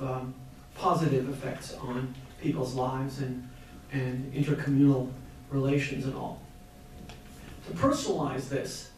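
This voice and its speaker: A man's voice: medium pitch, darkish tone, far from the mic, a little hiss or hum. Older man moderating in an echoey hall. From Iran. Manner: measured, hesitant with many fillers. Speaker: panel moderator. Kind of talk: public panel talk. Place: university lecture hall.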